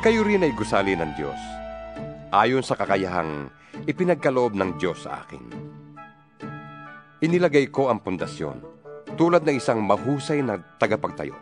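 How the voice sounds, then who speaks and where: A man giving a solemn, expressive dramatized reading of scripture, his pitch swinging widely. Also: normal speed, long pauses. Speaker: Bible reader. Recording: reading over guitar music.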